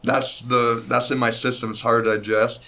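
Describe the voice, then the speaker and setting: Laid-back fighter talking loosely. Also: male voice, medium pitch, level tone, normal speed, a crowd in the background. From Mexican heritage. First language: Spanish speaker. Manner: laid-back, rambling with many likes and restarts. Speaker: mixed martial arts fighter, guest. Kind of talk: casual podcast interview.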